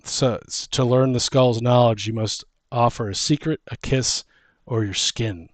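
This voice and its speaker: A man co-hosting a casual chat, brainstorming fantasy lore in a halting, thinking-out-loud way. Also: mid-range voice, steady tone, dry recording. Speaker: podcast co-host.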